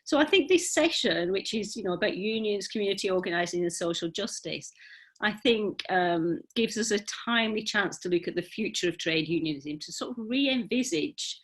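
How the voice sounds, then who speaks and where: A woman's voice: medium pitch, expressive, normal speed. A woman chairing an online academic panel, chatty and often filling her sentences with "you know". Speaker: session chair. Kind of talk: moderating a conference panel.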